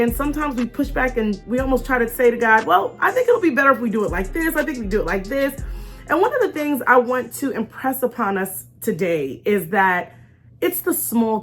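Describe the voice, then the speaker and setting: A woman hosting an informal faith talk in an animated, conversational way, stressing and repeating key phrases and acting out imagined remarks. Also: fairly high pitch. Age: adult with young children. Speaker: host of a faith storytime show.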